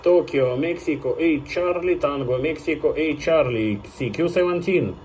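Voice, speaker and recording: Man, somewhat high voice, amateur radio operator, polite stock phrases, spelled call signs, heavy static noise. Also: moderate pace. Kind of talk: formulaic ham radio contact exchanges with spelled call signs. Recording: very noisy radio static and clicks.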